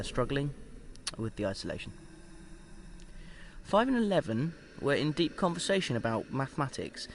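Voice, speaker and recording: A man's voice: medium pitch, close to an everyday voice. A man playing a research doctor in an audio drama, dictating an experiment log in a clinical yet conversational, slightly hesitant way. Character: male research doctor calmly logging a sinister experiment. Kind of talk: audio drama diary monologue. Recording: clear background noise and ambience.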